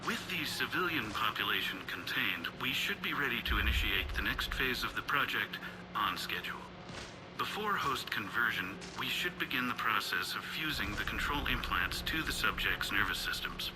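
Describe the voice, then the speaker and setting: A man's voice: fairly low pitch, big pitch swings, moderate pace, over music, low-quality recording. A man voicing a detached, formal scientist dictating a sinister project log in a video game, clinical and emotionless. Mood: cold, clinical.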